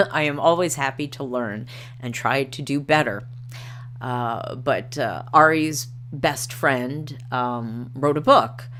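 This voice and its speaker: A woman's voice: extremely low pitch, fairly bright tone, slight background noise. A woman hosting a livestream, chatting casually and reading articles aloud with frequent ums and uhs. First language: not Norwegian. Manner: hesitant, many ums and uhs, reads quotes aloud. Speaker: livestream host.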